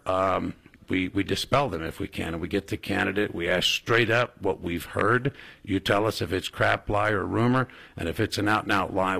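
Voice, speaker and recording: A man's voice: low voice, gravelly, fairly bright tone. A man hosting a radio talk show, interviewing a candidate in a rambling, jokey way with many restarts. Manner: rambling, repeats words, jokey. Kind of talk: casual interview.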